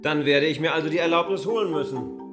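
An actor playing a stubborn, self-assured traveling land surveyor in a radio drama, speaking formally and firmly. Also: male voice, on the high side, fast, lightly acted. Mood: puzzled. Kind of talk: German radio drama acting. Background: mallet percussion music.

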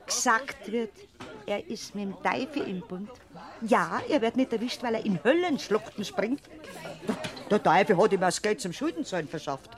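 An actress playing an older gossiping Bavarian peasant aunt, earthy and scolding, in a classic radio play. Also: female voice, medium pitch, expressive, moderate pace, a crowd in the background, close to an everyday voice.

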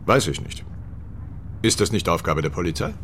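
An actor playing a cold, evasive military academy commandant, curt and condescending, in a radio crime drama. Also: male voice, low voice, lively intonation, moderate pace, fairly bright tone, slight background noise. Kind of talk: naturalistic German radio drama acting.

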